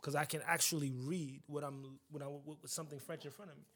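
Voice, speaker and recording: Young guy, high voice, excited storyteller on a podcast, says things twice. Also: fast. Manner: animated storyteller, repeats himself. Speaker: podcast co-host. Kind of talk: casual chat.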